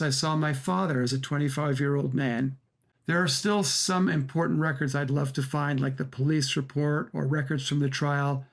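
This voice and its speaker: A man giving a prepared, first-person radio account as a documentary director, speaking in an earnest, emphatic way. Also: fairly high pitch.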